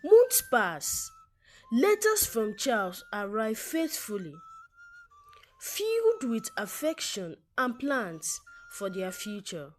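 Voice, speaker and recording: A woman narrating a scripted folktale in a deliberate, dramatic storytelling manner. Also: on the high side, wildly swooping pitch, faint music behind. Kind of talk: scripted folktale narration, reading character names before their lines.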